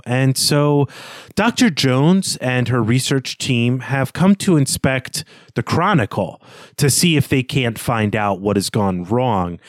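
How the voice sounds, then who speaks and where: A man co-hosting a podcast, giving a prepared story summary in a measured, explanatory manner. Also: mid-range voice, no echo. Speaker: podcast co-host.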